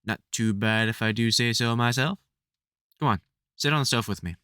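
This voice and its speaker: A man with a British accent playing a caring veteran vampire hunter in an audio roleplay, halting and sweary, exasperated but gentle. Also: medium pitch, clear, resonant tone, no room sound, close to an everyday voice. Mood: concerned, reassuring. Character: gruff, caring veteran male vampire hunter, protective and worried. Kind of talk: audio roleplay, speaking to an unheard listener.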